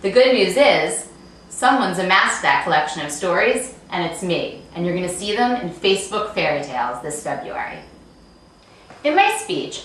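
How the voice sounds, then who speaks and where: A woman delivering a chatty, scripted promotional pitch as an author, with a breezy, playful manner. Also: fairly bright tone. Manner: breezy, playful, asks and answers rhetorical questions. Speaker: author promoting her book and talks. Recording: very echoey room, distant mic, faint noise.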